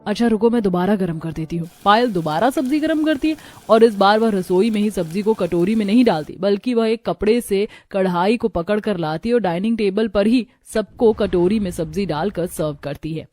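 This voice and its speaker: Mellow woman narrating a moral story. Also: medium pitch, moderate pace, hardly any pauses, soft background music.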